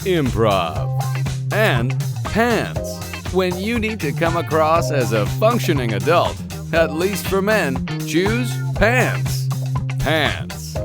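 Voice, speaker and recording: A man's voice: on the high side, big pitch swings, loud music behind the voice, voice pushed up. Man doing an emphatic mock ad announcer. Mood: salesy. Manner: slow, emphatic salesman delivery. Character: overblown, earnest commercial announcer for parody sponsors. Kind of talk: mock advertisement.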